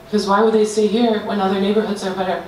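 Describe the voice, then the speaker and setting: A woman's voice: medium pitch, little pitch movement, quick pace, a little mellow. A woman presents a group design project. She talks hesitantly, with frequent fillers and restarts. She is captured from far off in a very echoey room, with faint noise behind her. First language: not Danish. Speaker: student presenter.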